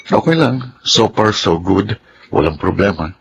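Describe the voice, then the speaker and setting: Low, gravelly, uneasy stepfather. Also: expressive, measured pace, heavily acted. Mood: guarded, calm. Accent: Filipino. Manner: hesitant, evasive. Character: tempted, uneasy stepfather resisting seduction. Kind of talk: Filipino radio drama acting. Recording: faint background noise.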